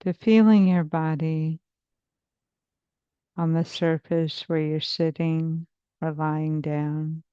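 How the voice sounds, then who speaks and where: Slow, low-voiced woman guiding a meditation. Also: flat delivery, clean tone, no echo, lo-fi sound. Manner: soothing, measured, hypnotic phrasing with long pauses. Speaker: therapist leading a guided meditation. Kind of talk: slow guided meditation narration.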